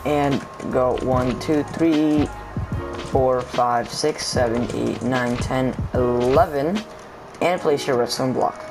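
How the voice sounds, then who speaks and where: A man with a cold talks through a game build step by step in a relaxed, plain way, counting out blocks as he goes. His voice sounds slightly off from the illness. Clear background music runs beneath him. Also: medium pitch, slow pace, low-quality recording. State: sick, voice sounds off. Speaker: game tutorial creator. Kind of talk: casual step-by-step game tutorial.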